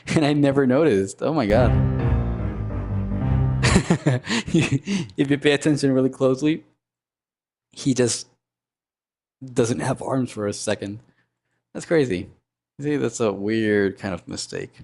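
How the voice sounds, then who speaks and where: A man with a low baritone voice and a sore throat, chatting casually and humming to himself while he animates on a live stream. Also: slow pace, long pauses, darkish tone, faint music behind. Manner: rambling, thinks aloud, hums and mutters sound effects.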